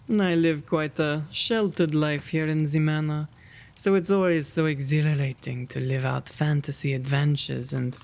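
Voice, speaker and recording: A man playing a kind, quaint gentleman vampire in an ASMR roleplay, with genteel, old-fashioned, fussy diction and a creaky voice. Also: a little high.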